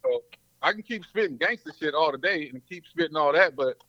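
A man from Mississippi and New Orleans, a rapper talking loudly and casually in slang. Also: on the high side, animated, a little fast, no room sound. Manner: loud, slangy, laid-back. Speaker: rapper guest. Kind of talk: casual interview chat. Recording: dull lo-fi dry sound.

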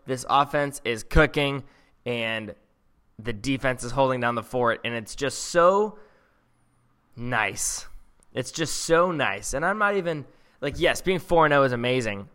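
Bright-voiced enthusiastic male co-host. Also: mid-range voice, a little slow, clear, resonant tone. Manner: enthusiastic, rambling with restarts. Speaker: podcast co-host. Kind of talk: casual sports chat.

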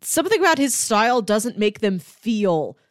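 A woman's voice: a little high, sing-song, moderate pace, dry recording, studio quality. A woman co-hosting a film podcast, talking casually and thoughtfully, often peppering her sentences with "like". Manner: thoughtful, conversational, frequent "like" filler.

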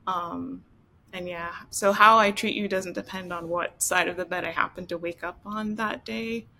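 A woman from Ontario talking in a casual group chat as a roundtable panelist, thoughtful and soft-spoken, with easy giggles. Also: on the low side, steady tone, normal speed, vocal fry, a little hiss or hum. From Ontario, Canada.

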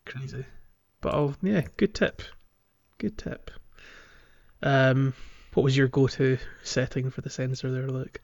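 A man's voice: medium pitch, measured pace, creaky, a little mellow, highs cut off. A man hosting a casual video game podcast, chatting informally and explaining things. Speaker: podcast host.

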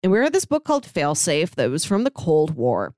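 A woman's voice: low voice, wildly swooping pitch, brisk, darkish tone, no echo, clean studio sound. An American woman podcast co-host retelling stories in casual, rambling and emphatic conversation. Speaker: podcast co-host discussing comics and books.

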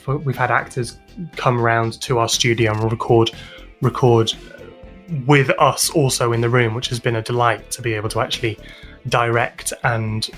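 British guy being interviewed, kinda halting, repeats words, mid pitched voice, music behind him. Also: a bit bright. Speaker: interview guest, audio drama sound designer and composer. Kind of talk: explanatory interview talk.

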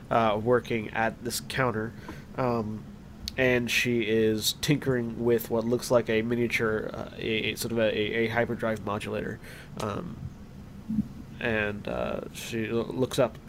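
A man's voice: medium pitch, level tone, measured pace, a bit bright, a little hiss or hum. A man as game master, describing a scene with many fillers and restarts.

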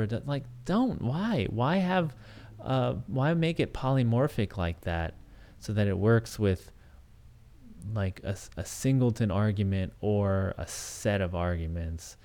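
A man's voice: mid-range voice, expressive, moderate pace, dark tone. A man hosting a solo podcast, talking things through in a thoughtful, hesitant way with many restarts and fillers. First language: English. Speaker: podcast host.

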